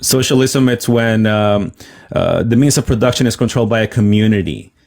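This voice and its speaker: Low-pitched male host, Venezuelan-born, insistent debater. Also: a little fast, gravelly, a little mellow. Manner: firm, insistent, talks over the other speaker. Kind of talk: heated debate.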